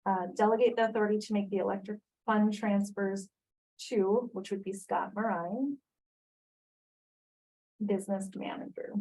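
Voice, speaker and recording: A woman's voice: medium pitch, steady tone, normal speed, long pauses, a little mellow, low-quality recording. A woman chairing a school board meeting, reading out motions and calling the votes in a formal way.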